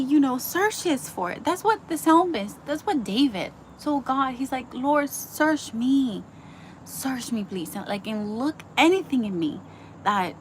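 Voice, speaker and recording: A woman's voice: a little high, slight background noise, low-quality recording. A young woman from the Dominican Republic giving an earnest, casual devotional teaching, full of fillers and restarts. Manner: chatty, full of likes and ums, earnest. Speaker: Bible teacher.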